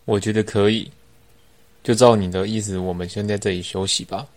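A man's voice: on the low side, steady tone, fairly quick, a little mellow, natural voice. A teenage boy playing a sly young man who feigns innocence, in an amateur radio drama. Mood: polite.

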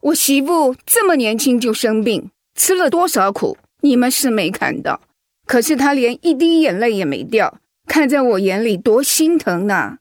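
A woman's voice: a little high, very animated, normal speed, dry recording, studio quality. A woman acting a protective middle-aged mother-in-law in a radio drama, outspoken and motherly. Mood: indignant. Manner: indignant, emphatic when provoked, motherly.

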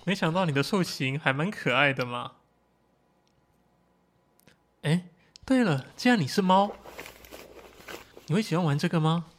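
A man's voice: on the high side, animated, normal speed, natural voice. A man voicing an easygoing young man who talks in a playful, teasing way.

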